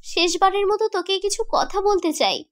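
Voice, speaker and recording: A very high, piercing young female ghost played by a woman from a horror cartoon. She is betrayed and vengeful, and speaks in emphatic, accusing phrases. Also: moderate pace. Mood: urgent, sorrowful. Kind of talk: dramatic horror cartoon acting.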